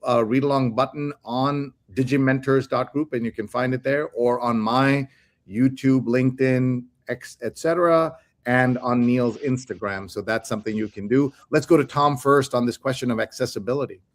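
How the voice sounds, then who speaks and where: A man's voice: mid-range voice, normal speed. A man hosting a livestream in a casual, conversational style. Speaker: show host.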